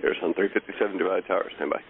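Deep, flat male controller on radio. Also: rapid-fire, husky, phone-quality sound. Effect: aviation radio. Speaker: air traffic controller. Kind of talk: clipped radio instructions. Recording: band-limited aviation radio, faint static.

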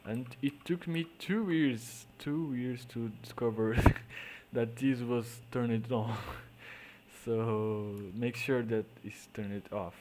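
A man with a Portuguese accent, a native Portuguese speaker, explaining digital painting step by step in halting English, often trailing off into quiet mutters as he works. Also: medium pitch, a little hiss or hum, rough recording. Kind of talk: screen-recorded painting tutorial.

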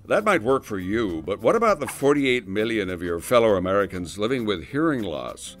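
A man delivers scripted voice-over narration in an upbeat, lightly joking way. His voice sits somewhat low. Soft music plays underneath. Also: sing-song, fairly quick.

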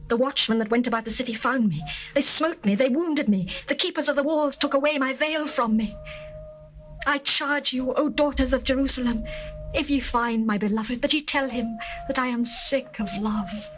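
A woman's voice: sits high, moderate pace, a bit bright. A woman playing a lovesick young bride. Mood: longing. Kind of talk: dramatized scripture reading.

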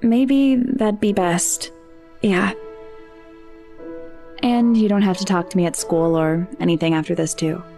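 A woman's voice: on the low side, steady tone, dark tone. A woman acting an anxious, lonely teenage girl, music. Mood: upset, apologetic. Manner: hesitant, halting, self-deprecating. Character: anxious, lonely seventeen-year-old girl. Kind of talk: naturalistic audio drama acting.

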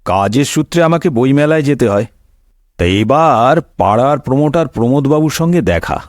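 A man's voice: mid-range voice, lively intonation, normal speed, darkish tone, no room sound, studio quality. A man narrating a scripted comic cartoon story in a wry, theatrical way. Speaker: storyteller host.

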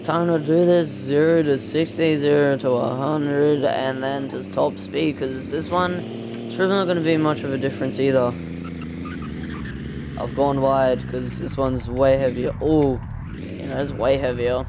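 A man's voice: mid-range voice, steady tone, a little slow, gravelly, noisy background. A man giving casual, off-the-cuff commentary as a gaming video host, in a hesitant, rambling way. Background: car engine sounds from a driving game.

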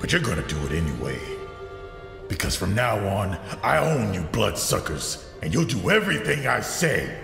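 An actor playing a bitter, menacing villain with a gravelly, forceful, theatrical delivery in an audio drama. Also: male voice, lowish voice, very animated, moderate pace. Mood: commanding. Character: bitter, menacing male villain, a scarred former prosecutor. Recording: reverberant hall, music underneath.

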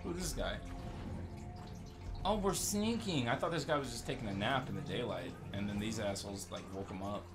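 A man giving casual, sarcastic and excitable gameplay commentary as the host of a gaming video. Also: brisk, over music. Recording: noisy low-level game recording.

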